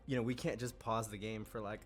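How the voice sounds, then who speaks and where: A man's voice: lowish voice, flat delivery, a little fast, breathy edge, faint music behind. A man giving a behind-the-scenes documentary interview as a game developer.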